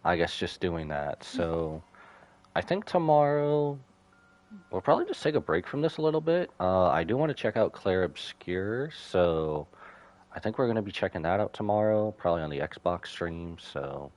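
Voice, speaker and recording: A man's voice: low voice, big pitch swings, normal speed, darkish tone. A man casually commentating on a livestream as a game streamer, rambling and loosely thinking aloud. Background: music.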